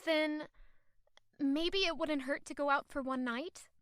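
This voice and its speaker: A woman plays a young noblewoman in love in an audio drama. Her voice is high, bright and dry. She is sweet and earnest, gently pressing with her questions. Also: unhurried, dry recording. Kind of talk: romantic audio drama acting.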